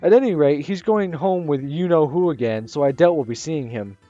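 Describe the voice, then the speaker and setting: A man voicing a calm, dry, teasing teenage boy from a visual novel, low and deadpan. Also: moderate pace, natural voice. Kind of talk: reading a visual novel aloud. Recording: slightly muffled with soft music.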